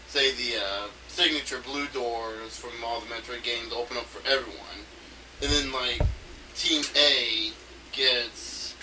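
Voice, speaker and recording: Bright-voiced man rambling into a distant mic. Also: medium pitch. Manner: halting, repeats himself, trails off mid-phrase. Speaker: amateur game designer recording an audio log. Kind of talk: unscripted rambling monologue. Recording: noisy amateur recording, distant from mic.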